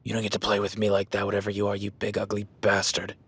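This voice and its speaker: A man playing a gruff cowboy hunter in an audio roleplay, whispering while stalking and swearing and giving orders in a crisis. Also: lowish voice, completely flat delivery, fast, a bit bright. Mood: urgent, alarmed. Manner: swearing, commanding, urgent. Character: gruff rugged cowboy hunter tracking a beast. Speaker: ranch hand tracking a beast.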